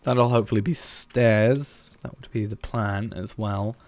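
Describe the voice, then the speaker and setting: A man's voice: fairly low pitch, steady tone, unhurried, dry recording. A man streaming a building game, thinking aloud in a hesitant, musing way as he plans. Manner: musing, hesitant, planning out loud.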